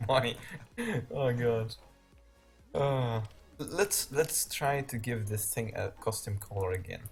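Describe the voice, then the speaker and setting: Belgian man, Dutch first language, relaxed game stream host, a bit husky, thinks out loud. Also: mid-range voice, extremely slow, long pauses, soft background music. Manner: rambling, laughs often, thinks aloud. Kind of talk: casual game tutorial chat.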